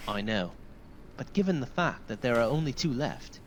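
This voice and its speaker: An actor playing an earnest, courteous young knight in a fantasy video game, speaking formally and sincerely. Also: male voice, mid-range voice, expressive, moderate pace, a little hiss or hum, close to an everyday voice. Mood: earnest, hopeful. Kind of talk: video game dialogue acting.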